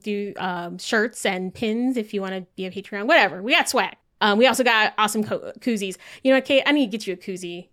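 A woman's voice: mid-range voice, fairly bright tone. A woman hosting a podcast, chatting in an upbeat way with many fillers. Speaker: podcast host. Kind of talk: casual chat.

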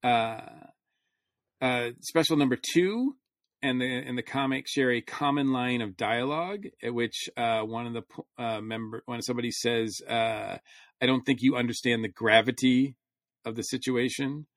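A man's voice: mid-range voice, moderate pace, dry recording, studio quality. A man in casual, rambling conversation as a panelist on a review podcast.

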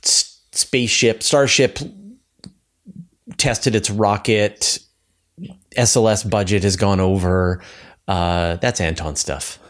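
A man with a fairly low voice hosts a podcast. He talks off the cuff in an easy conversational style, as if chatting with a colleague. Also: steady tone, slow pace, long pauses, fairly bright tone. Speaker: podcast host. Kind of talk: casual unscripted podcast chat.

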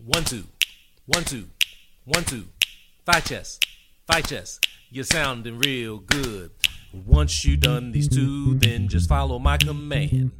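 A man's voice: medium pitch, lively intonation, slow, very noisy. A man performing a rhythmic spoken children's song as a teacher, encouraging listeners in call-and-response. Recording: studio song with loud music backing. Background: plucked guitar music.

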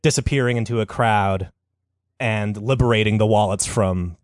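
A man's voice: on the low side, normal speed, dry recording, studio quality. A man co-hosting a casual comedy chat, telling a story in a wry, profane way.

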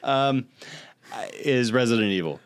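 A man's voice: mid-range voice, lively intonation, measured pace, clear, resonant tone, no echo, clean studio sound. A man co-hosting a podcast in casual chat, with a joking, self-deprecating manner. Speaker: podcast co-host.

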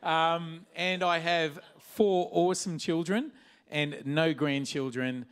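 A man's voice: fairly high pitch, fairly even intonation, slow pace, a bit bright, clean tone. A man who is a father, speaking as a guest at a church service, chatty and jokey with lots of ums. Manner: chatty and jokey, full of fillers. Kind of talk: personal testimony.

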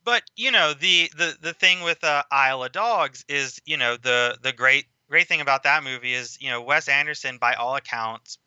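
A man speaking as a podcast guest in casual film discussion, talking in a measured, explanatory way at length. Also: medium pitch, bright, forceful tone, smooth, dry recording, dull audio.

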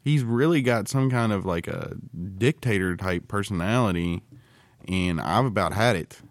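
A low-voiced man chats as a podcast co-host, recorded close and dry. He rambles through his thoughts in a casual, teasing, joking way. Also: normal speed, no echo.

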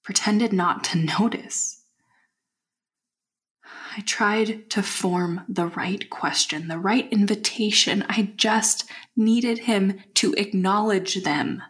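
An actress playing a haunted, insistent young woman, narrating an audio diary in an audio drama with deliberate, clipped phrases. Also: mid-range voice, steady tone, close to an everyday voice. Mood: uneasy, insistent.

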